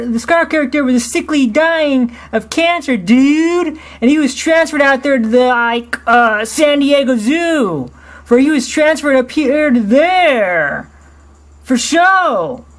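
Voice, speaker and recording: A man's voice: lively intonation, slow, fairly bright tone, exaggerated voice. A man voicing a laid-back young male lion who talks like a surfer dude in a Los Angeles Valley accent, slangy and drawling. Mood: chill, chatty. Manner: slangy, drawling, very high falsetto.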